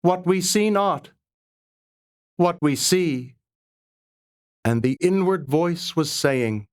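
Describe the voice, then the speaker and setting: A man reciting narrative poetry in a measured, dramatic style, with solemn, reverent phrasing and deliberate pauses. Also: a little high. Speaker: poetry reader.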